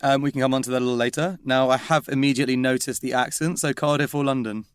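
A man's voice: medium pitch, steady tone, clean tone, no echo. A man running the interview, firing off short questions at speed and ribbing his guest. His voice sits mid-range and the recording is dry. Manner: brisk, teasing. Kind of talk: quick-fire interview questions.

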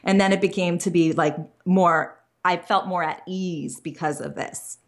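A woman's voice: lowish voice, fairly even intonation, a little slow. A young woman, a career coach who is expecting a child, talking earnestly and openly as a guest in an interview, in long flowing runs with small restarts. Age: young adult. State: pregnant. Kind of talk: interview conversation.

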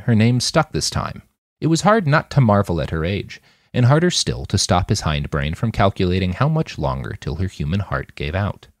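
A man reading a scripted story aloud as an expressive audiobook-style narrator. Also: low voice, no echo, studio quality. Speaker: author reading own fiction podcast.

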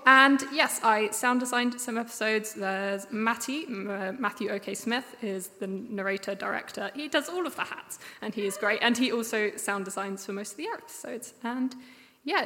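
A woman giving a chatty, improvised pitch to an audience in an indoor hall. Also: sharp tone. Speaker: podcast dialogue editor and sound designer. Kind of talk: informal live pitch to an audience. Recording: echoey hall with long reverb.

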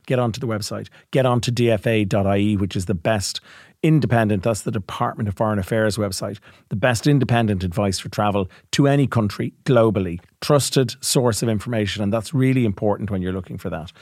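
A man's voice: fairly low pitch, fairly quick, darkish tone, no room sound, clean studio sound. A man hosting a business podcast, talking in an upbeat, informative way with many "you know"s. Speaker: podcast host, company chief executive. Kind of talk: casual business podcast chat.

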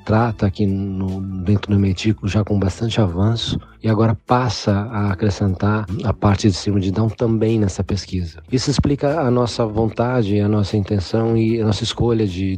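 A man with a low, mellow voice explains things in a recorded statement, restarting phrases as he goes. Faint music plays underneath. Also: steady tone, moderate pace, few pauses. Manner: explanatory, with restarts and tag questions. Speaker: foundation representative.

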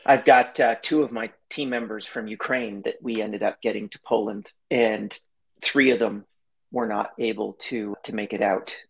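Male guest, slightly husky, easygoing storyteller on a remote call. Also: lowish voice, fairly even intonation, rough recording. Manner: quick, chatty storyteller who laughs easily. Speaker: podcast guest, company founder. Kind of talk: casual interview talk.

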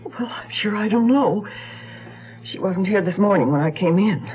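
An actress playing a respectful, talkative housemaid with a husky voice and folksy manner in a radio drama. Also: female voice, medium pitch, lively intonation, moderate pace, close to an everyday voice. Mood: deferential, worried. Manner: deferential, folksy. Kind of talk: 1970s radio drama acting. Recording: thin, phone-like lo-fi radio recording.

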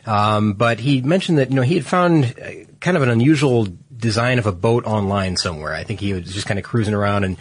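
A man's voice: on the low side, a little fast, hardly any pauses, breathy edge, no room sound. A man co-hosting a casual podcast, nasal and congested from an illness, rambling with lots of fillers. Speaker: podcast co-host. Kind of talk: casual chat.

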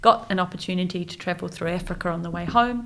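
A woman's voice: on the low side, quick pace, gravelly. A woman in her forties with a New Zealand accent, a guest talking reflectively in a casual interview, with many fillers and restarts.

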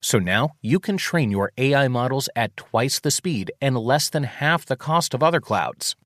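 A man's voice: medium pitch, expressive, moderate pace, fairly bright tone, no room sound, studio quality. A man reading a scripted radio commercial as an announcer.

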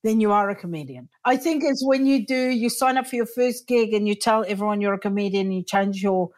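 A woman hosting a casual comedy chat, animated and swearing freely as she acts out quoted voices. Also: mid-range voice, fairly quick.

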